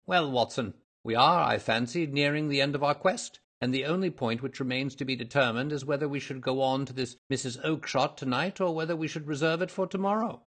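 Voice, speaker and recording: A man voicing a shrewd gentleman detective in a storybook, smooth, courteous and self-assured. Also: mid-range voice, fairly quick, hardly any pauses, voice pushed up. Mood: amused, musing. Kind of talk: audiobook character voice.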